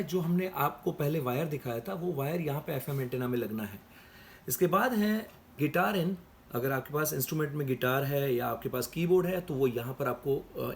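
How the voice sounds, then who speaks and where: A man with a slightly high voice presents a product demonstration. He explains things step by step and addresses viewers as friends. There is noticeable background noise around his voice. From India. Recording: clear background noise and ambience.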